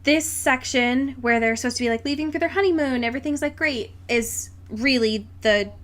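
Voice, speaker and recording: A young adult woman co-hosting a podcast, recapping a book in a rambling, animated, comedic chat with theatrical asides. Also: high-pitched, normal speed. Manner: animated, rambling, theatrical retelling with mock quotes and frequent 'like'. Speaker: podcast co-host.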